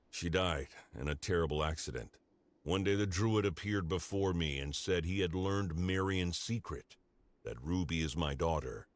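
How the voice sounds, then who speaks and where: A man's voice: sits low, lightly acted. An actor playing a grave, devoted father and former werewolf commander in a video game, with an earnest, dramatic delivery. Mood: grave, regretful. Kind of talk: scripted video game character dialogue.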